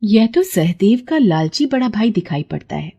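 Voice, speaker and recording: A woman's voice: medium pitch, sing-song, moderate pace, warm tone, husky. Woman voicing a solemn, kind pond goddess. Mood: suspicious. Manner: solemn, benevolent, scolding the greedy. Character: kind but stern female pond goddess granting magical gifts.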